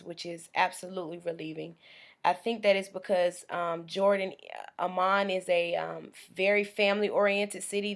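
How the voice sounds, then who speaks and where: A woman's voice: lowish voice, a bit bright. Chatty young American woman vlogging. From United States. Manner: chatty, rambling, with frequent ums. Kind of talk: casual vlog monologue. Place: small indoor room. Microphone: webcam at close range.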